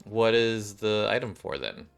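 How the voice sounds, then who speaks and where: A man's voice: lowish voice, lively intonation, a little slow, vocal fry, clear, resonant tone. A man giving casual, rambling gameplay commentary as a streamer, half muttering to himself and his viewers. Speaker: game streamer. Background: game music.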